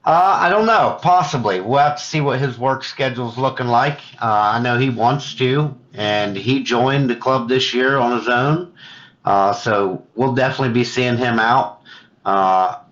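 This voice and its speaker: A middle-aged man chatting casually about fishing as a guest angler, halting and repeating words as he thinks aloud over a remote call. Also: mid-range voice, a bit bright. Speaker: guest, competitive kayak angler. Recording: dull lo-fi call audio.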